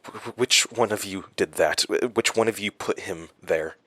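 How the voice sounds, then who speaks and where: An actor playing a haunted, brooding man who narrates his horror story in an audio drama, halting and deliberate. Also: sits low, a bit bright, no echo. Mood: shaken, strained. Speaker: narrator and main character.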